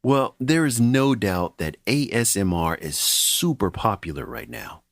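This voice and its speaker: Wry low-voiced male podcast host. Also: sing-song, unhurried, vocal fry, no echo, clean studio sound. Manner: wry, self-mocking, chatty. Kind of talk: casual scripted podcast narration.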